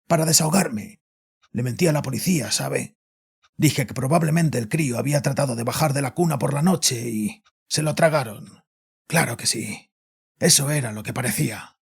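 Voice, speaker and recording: A guilt-ridden father acted by a man makes a cold, haunted confession in an audio horror drama. His mid-pitched voice is gravelly and very hoarse-edged, with bitterness underneath. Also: moderate pace. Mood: bitter confession. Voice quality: raspy, husky. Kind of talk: dramatic audio fiction monologue.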